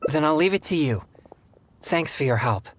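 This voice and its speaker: A man's voice: a little high, expressive, lightly acted. Man voicing an earnest young male exorcist, noisy background. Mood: thoughtful. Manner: formal, courteous, measured phrasing. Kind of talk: video game character dialogue.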